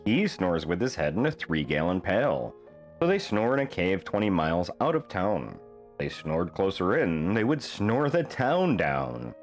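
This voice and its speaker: Low-pitched man, very animated storybook reader. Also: unhurried, faint music behind. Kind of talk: expressive reading of a rhyming children's bedtime story.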